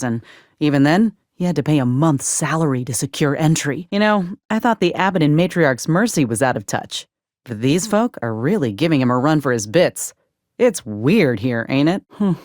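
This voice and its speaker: An actress playing a gruff, loyal sci-fi soldier and medic in a video game, speaking in a folksy, plainspoken, measured way. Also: female voice, sits low, dry recording, clean studio sound. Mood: wry, steady. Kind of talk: video game companion dialogue.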